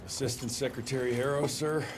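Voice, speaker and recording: A man's voice: mid-range voice, steady tone, brisk, background noise, close to an everyday voice. An actor with an American accent playing a younger Western agent in a video game, casual and dry in his delivery. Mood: concerned, respectful. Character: younger Western agent, teasing, loyal son.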